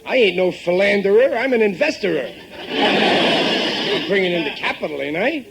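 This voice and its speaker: A man's voice: sits high, expressive, moderate pace, vocal fry, heavy background noise. An actor playing a blustering, scheming middle-aged working-class American father in 1950s radio comedy, mangling big words. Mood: self-important bluster. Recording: old-time radio recording from tape.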